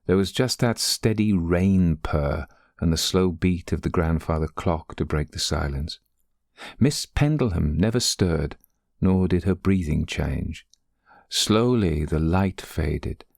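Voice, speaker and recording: A man narrating a literary ghost story in a deliberate, dramatic storytelling manner. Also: deep voice, vocal fry, a little mellow, no room sound, studio quality.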